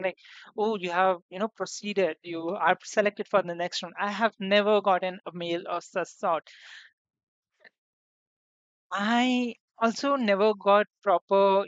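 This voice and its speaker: Chatty, slightly hoarse young woman streamer. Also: mid-range voice, dry recording. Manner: rambling, chatty, many fillers and restarts. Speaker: VTuber streamer. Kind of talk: casual debut stream chat. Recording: dull lo-fi sound, dry room.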